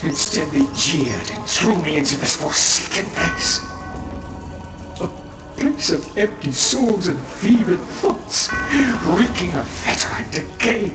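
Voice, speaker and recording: A half-mad, embittered asylum prisoner in a horror game. His anguished, declamatory delivery swings widely in pitch, with music playing behind him. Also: very animated, slow, reverberant, recorded from a distance, poor audio. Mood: bitter, feverish. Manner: declamatory, anguished, swinging from hushed dread to shrieking. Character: raving, embittered man locked away in an asylum. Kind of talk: theatrical dramatic monologue in a horror game.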